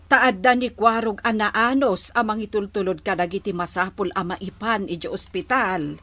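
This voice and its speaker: A woman's voice: mid-range voice, moderate pace, pushed and bright, dry recording. A woman with an Ilocano accent playing a concerned, chatty village neighbor in a comedy drama.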